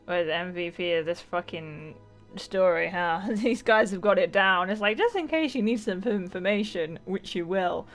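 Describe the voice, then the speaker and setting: A woman with a fairly low voice chats through a game playthrough. She swears freely, jokes offhand and keeps it casual, with music playing behind her. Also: lively intonation, moderate pace. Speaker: game streamer. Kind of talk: casual let's play commentary.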